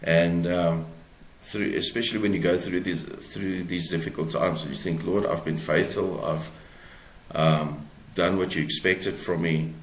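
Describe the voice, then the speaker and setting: A South African man speaks in a deep voice as an interview guest. He answers in a conversational, reflective way, picking through his phrases haltingly. The recording has a clearly echoey room sound. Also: steady tone, slight background noise. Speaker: author, podcast guest.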